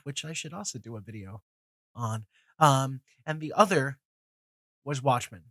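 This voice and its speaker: A man's voice: mid-range voice, a bit bright, no echo, studio quality. A man in his twenties with a cold and a nasal voice, rambling casually through his opinions with many fillers, restarts and casual swearing. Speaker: comics video host.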